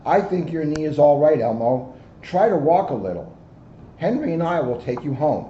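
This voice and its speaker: Man reading as a kindly policewoman, soothing, encouraging, mellow tone, slight noise. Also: a little high, big pitch swings, normal speed, muffled recording. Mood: reassuring. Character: kindly, reassuring adult female police officer. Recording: roomy recording with background noise.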